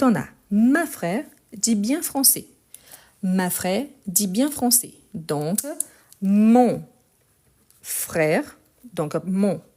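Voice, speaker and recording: A woman's voice: mid-range voice, a little mellow. A woman teaching French in a live online lesson, correcting a text step by step. She explains patiently, repeats words often and talks into a phone she holds in her hand. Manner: slow, patient explaining with many "donc" and repetitions. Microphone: phone held in hand.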